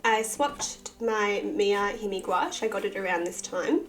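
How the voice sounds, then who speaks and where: A woman, husky from a cold with a bit of vocal fry, chatting casually and self-deprecatingly through a sketchbook tour. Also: medium pitch, unhurried, loud music behind the voice. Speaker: artist and channel host.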